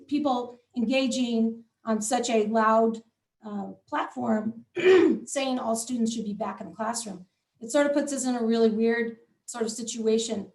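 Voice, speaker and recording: A fairly high-voiced woman heard from some distance in a roomy, echoing space. She answers community questions in a measured, thinking-aloud way, full of ums and restarts. Her voice is a little worn, and she sounds like she is close to losing it. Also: darkish tone. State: losing her voice, clearing throat. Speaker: school superintendent hosting a town hall.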